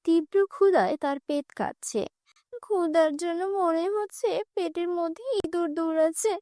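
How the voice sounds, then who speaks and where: Female storyteller for cartoon fairy tales. Very high voice, scripted narration, dry studio-like sound. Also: normal speed, dark tone, no room sound.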